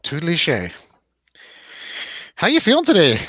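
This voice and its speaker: Animated man hosting a film chat, dull sound. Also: fairly high pitch, unhurried, slightly rough, dry recording. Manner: rambling, jokey, puts questions to co-host. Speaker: host of a film review show. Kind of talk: casual chatty film discussion over drinks.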